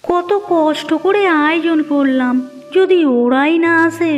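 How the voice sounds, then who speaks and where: A woman's voice: very high voice, measured pace, soft background music. A woman playing an anxious, fretful young village wife in a fairy-tale cartoon. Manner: fretful, questioning, melodramatic.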